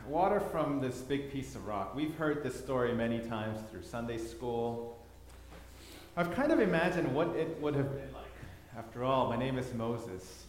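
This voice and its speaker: A man from Thailand giving a sermon with slides, telling the story with repeated words for emphasis. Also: mid-range voice. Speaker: preacher. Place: church hall. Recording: echoey hall, long reverb.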